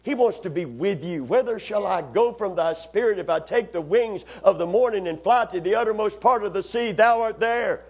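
A higher-voiced male pastor preaches in a church, calling out for amens and drawing the congregation into his message. The recording sounds dull and lo-fi, as though captured on older church equipment. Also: normal speed, hardly any pauses, a little mellow. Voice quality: raspy after Sunday preaching. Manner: call-and-response preaching, asks for amens. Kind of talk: sermon. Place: church sanctuary.

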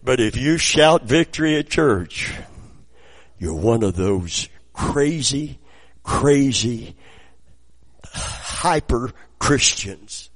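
A middle-aged man preaching a sermon, his voice raspy from preaching, moving between quiet storytelling and loud exclamations. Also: mid-range voice, lively intonation, very slow, long pauses. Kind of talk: sermon with personal anecdotes.

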